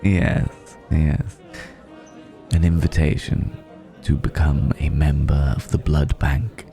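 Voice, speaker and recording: A man playing a suave, courteous vampire in an intimate ASMR roleplay, speaking in a refined, formal, gently amused way with lingering pauses. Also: a bass voice, level tone, vocal fry, faint music behind, heavily acted. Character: suave, courteous male vampire dining with a mortal guest.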